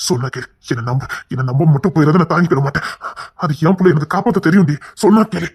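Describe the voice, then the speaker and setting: Man acting husky, fast-talking, insistent husband. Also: on the high side. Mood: coaxing, warning. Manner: insistent, repetitive, swinging from hushed coaxing to agitated scolding. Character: stubborn, controlling husband and anxious father-to-be, pleading and scolding. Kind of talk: emotional melodrama dialogue.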